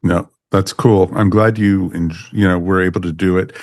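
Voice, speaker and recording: A man with a low voice hosts a casual podcast. He rambles enthusiastically like a devoted fan, and the sound is dry and close. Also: steady tone, normal speed, a little mellow, dry recording. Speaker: podcast host.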